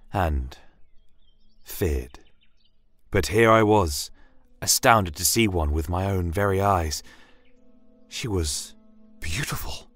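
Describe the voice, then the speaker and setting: A man with a low voice narrates a scripted fantasy tale in the first person. The recording is close and dry, with soft music underneath. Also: no room sound. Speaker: storyteller.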